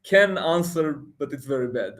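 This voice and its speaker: A man's voice: a little high, lively intonation, normal speed, a bit bright. A man whose first language is Armenian, hosting a casual tech livestream chat, laughing between points and restarting his sentences. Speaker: livestream host.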